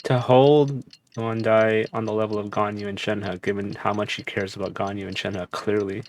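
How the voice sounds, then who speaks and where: A man's voice: on the low side, flat delivery, moderate pace. A man streaming a game, giving casual, musing commentary and often repeating his phrases. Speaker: gaming streamer. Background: game music.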